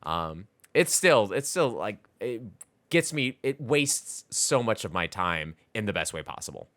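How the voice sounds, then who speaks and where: A man's voice: fairly low pitch, sing-song, measured pace, fairly bright tone. A man co-hosting a podcast, talking about video games in a loose, rambling, casual way. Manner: loose, rambling, repeats words as he goes. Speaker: podcast co-host. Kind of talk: casual video game chat.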